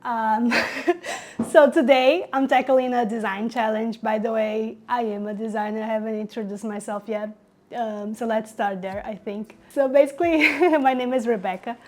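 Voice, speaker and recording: Young Brazilian woman, Portuguese native, casual upbeat vlogger voice, slightly high, chatty with fillers. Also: fairly even intonation, moderate pace, darkish tone. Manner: chatty, upbeat, many fillers and little laughs. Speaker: designer vlogger. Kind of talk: casual talk to camera while working.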